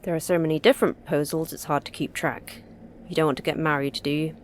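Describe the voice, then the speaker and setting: Actress as a blunt, sweary station manager, noisy ambience. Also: female voice, sits low, fairly even intonation, quick pace, close to an everyday voice. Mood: weary sarcasm. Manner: blunt, irritable, sarcastic. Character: terse, sweary, sardonic woman managing an Antarctic station. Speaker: station manager of a secret facility. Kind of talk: audio drama acting.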